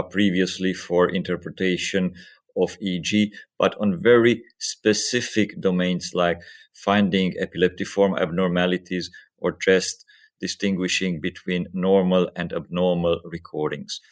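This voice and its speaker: Low-pitched man explaining research. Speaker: physician researcher, interview guest. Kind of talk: explanatory interview answers.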